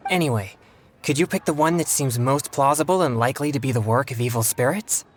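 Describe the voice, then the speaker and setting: An actor playing a polite, earnest young male exorcist in a video game, speaking in formal, courteous, measured phrases. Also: mid-range voice, slight background noise, close to an everyday voice. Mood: earnest, pondering. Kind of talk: scripted video game dialogue.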